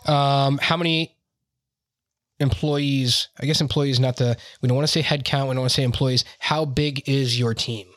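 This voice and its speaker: A man's voice: mid-range voice, steady tone, moderate pace, a bit bright, dry recording. Chatty male host, dry close sound. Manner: chatty, rambling, many "you know" and "like" fillers. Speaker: podcast host interviewing IT leaders. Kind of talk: conversational interview.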